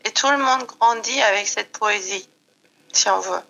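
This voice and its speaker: A middle-aged woman from Iran, speaking French with a Persian accent, talks reflectively in an interview with many pauses and fillers. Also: medium pitch, creaky, sharp tone, low-quality recording. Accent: foreign accent in French. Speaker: guest. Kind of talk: slow reflective interview talk.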